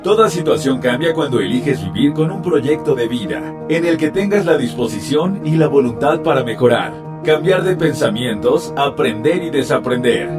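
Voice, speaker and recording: A man announcing radio promos in a smooth, measured voice-over. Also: mid-range voice, animated. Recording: distant voice under loud guitar music.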